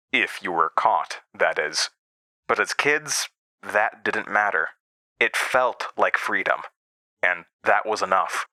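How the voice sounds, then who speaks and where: A man's voice: lowish voice, pushed and bright, no room sound, rough recording. An actor playing a man who recalls his boyhood in a horror drama, telling it in a wry, conversational way. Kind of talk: scripted first-person horror drama narration.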